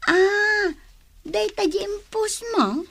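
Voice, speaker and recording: Chatty agreeable woman, high voice, dry close sound, radio comedy drama. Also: slow, creaky, a bit bright, no echo, exaggerated voice.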